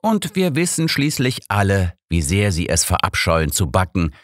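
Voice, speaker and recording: Man acting old male detective, grumpy, sardonic, haughty formal diction, animated pitch, audiobook. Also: moderate pace, dry recording, clean studio sound. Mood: smug. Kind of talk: audiobook character reading.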